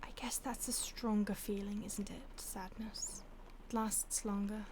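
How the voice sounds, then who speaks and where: A woman playing an ancient, detached vampire stalker in an intimate audio roleplay, with a breathy voice that often drops to a whisper and a languid, teasing manner. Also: medium pitch, flat delivery, unhurried, darkish tone, lightly acted. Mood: musing, detached. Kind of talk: intimate audio roleplay, ASMR-like acting addressed to the listener.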